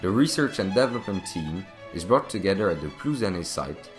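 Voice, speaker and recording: A man's voice: low voice, animated, brisk, music in the background. A man reading scripted narration as the narrator of a corporate video.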